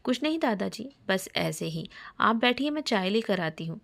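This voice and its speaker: A woman voicing a troubled young college student in a romance story, polite but quick to indignation. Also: medium pitch, sing-song, gravelly, a bit bright.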